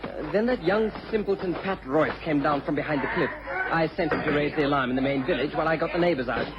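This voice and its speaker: A man's voice: on the high side, fast, close to an everyday voice. A man playing an emphatic, plain-spoken village neighbour in a radio drama. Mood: weary, obliging. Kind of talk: BBC radio drama acting. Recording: dull old radio recording.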